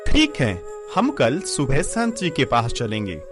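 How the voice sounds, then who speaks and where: A man's voice: medium pitch, wildly swooping pitch, measured pace, music in the background. A man playing a proud, stern, middle-aged wealthy merchant in a cartoon story, dramatic and authoritative. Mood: stern, threatening. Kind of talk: Hindi cartoon story voice acting.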